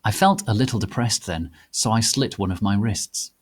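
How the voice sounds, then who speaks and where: A man giving a theatrical, deliberate dramatic reading of a piece of fan fiction. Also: on the low side, slightly rough. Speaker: reader.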